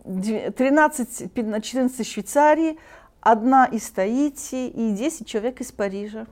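A middle-aged French-speaking woman from Switzerland, talking in an interview in halting speech with many restarts and fillers. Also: fairly high pitch, darkish tone. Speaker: choir leader, guest.

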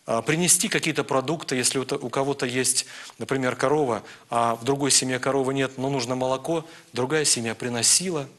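Bright-voiced man in measured religious talk. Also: mid-range voice. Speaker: priest guest answering viewers' questions on air. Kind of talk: live religious talk, answering questions.

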